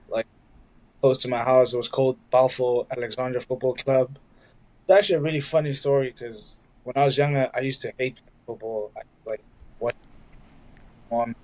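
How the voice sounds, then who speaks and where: A young man from South Africa chats as a guest in a casual interview. He talks in a loose, easygoing way, leaning on small fillers as he goes. His voice sits at an ordinary middle pitch. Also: low-quality recording. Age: young adult. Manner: relaxed, loose, many "like" fillers. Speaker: college soccer player, guest.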